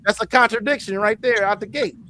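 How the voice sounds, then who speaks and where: A man's voice: high voice, brisk, bright, forceful tone, slight background noise, low-quality recording. A man hosting a casual panel talk, reasoning aloud and checking that others follow him. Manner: conversational, reasoning aloud, seeks agreement. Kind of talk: casual debate.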